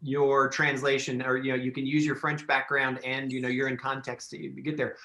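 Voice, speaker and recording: A man's voice: mid-range voice, completely flat delivery, normal speed, bright, forceful tone, reverberant. A man hosting a podcast interview, talking casually with enthusiasm and plenty of fillers and restarts. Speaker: podcast host.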